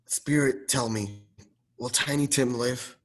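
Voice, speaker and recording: A man's voice: medium pitch, slow pace. A young man acting an anxious, stubborn old miser in a radio play. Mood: pleading, distressed.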